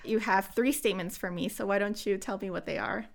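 A woman's voice: mid-range voice, steady tone, brisk, vocal fry. A young woman hosting a podcast interview, with an upbeat, friendly presenter manner. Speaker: podcast host.

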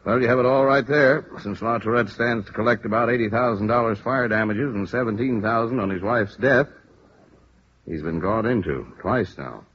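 An actor playing a businesslike American police lieutenant in a vintage radio drama, frank, firm, deliberate and emphatic. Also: male voice, on the low side, lightly acted. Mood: musing, businesslike. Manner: deliberate, emphatic, matter-of-fact. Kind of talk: 1950s radio drama acting. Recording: muffled, hissy old radio recording.

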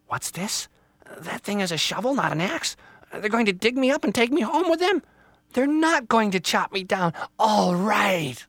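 Little storybook evergreen, young female played by a man: high, wide-eyed, earnest, hopeful yet unsure. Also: brisk, no echo. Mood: amazed relief.

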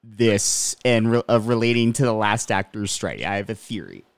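A man's voice: on the low side, lively intonation, moderate pace, clear, resonant tone, no room sound. A man co-hosting a podcast, explaining points in an analytical, casual way. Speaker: podcast co-host. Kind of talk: casual chat.

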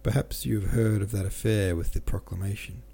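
A man voicing a gossipy, smiling adjutant in an audiobook, talking smoothly and at length. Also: lowish voice, fairly even intonation, fairly quick, dark tone. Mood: chatty. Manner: smooth, talkative storytelling. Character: smiling gossipy male Russian adjutant, chatty.

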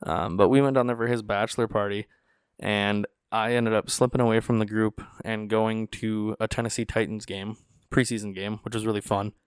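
A man's voice: lowish voice, normal speed, clear, resonant tone, dry recording, studio quality. A man from North Dakota hosting a podcast, telling stories in casual chat and acting out the people he quotes. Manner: animated, acts out quoted voices. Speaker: podcast host. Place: small studio. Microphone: studio podcast mic.

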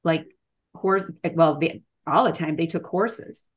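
Female book club participant, low-pitched, questioning, conversational, feeling her way through each thought. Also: slow, breathy edge. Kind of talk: casual group discussion.

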